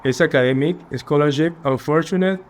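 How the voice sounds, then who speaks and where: A young man from Peru with a Peruvian Spanish accent, answering interview questions earnestly and a little haltingly. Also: on the high side. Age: young adult. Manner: earnest, polite, slightly halting phrasing. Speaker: finance student guest.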